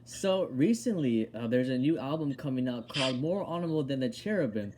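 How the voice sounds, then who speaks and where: A man's voice: medium pitch, animated, fairly quick, a little mellow, slight background noise. A man hosting an interview over a video call, asking short questions. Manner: brief, encouraging interjections and questions. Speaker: interview host. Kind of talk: casual interview.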